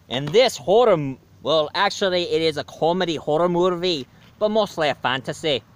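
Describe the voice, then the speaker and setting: Cheeky leprechaun reviewer voiced by a man, hammy Irish accent, fairly high, smooth voice, rambling with fillers. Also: close to an everyday voice. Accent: put-on Irish. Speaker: movie reviewer.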